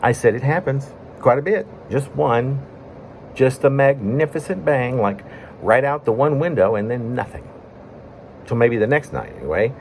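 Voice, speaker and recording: A man reading his own poem in a conversational storytelling way, breaking it into short phrases with pauses. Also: mid-range voice, animated, a little mellow, slight background noise. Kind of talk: spoken-word poetry reading, conversational storytelling.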